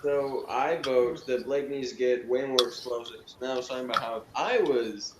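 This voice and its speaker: A man gives his take in a relaxed, informal group review. His voice sits at a medium pitch. The room echoes around him, with faint noise behind. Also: moderate pace. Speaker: review panelist. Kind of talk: casual group chat reviewing a TV show. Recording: roomy echo, background noise.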